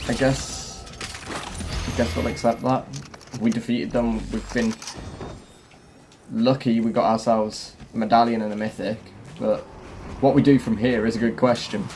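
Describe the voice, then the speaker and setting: A man's voice: medium pitch, level tone, a little slow, pauses often, darkish tone. A man hosting a gaming video with casual gameplay commentary. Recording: voice-over with music and game vehicle sounds. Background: clear music and game engine noise.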